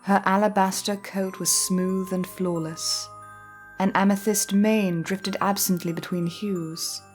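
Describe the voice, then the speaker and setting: A woman reading a scripted, dramatic story as narrator, with measured and deliberate phrasing. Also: on the low side. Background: soft music.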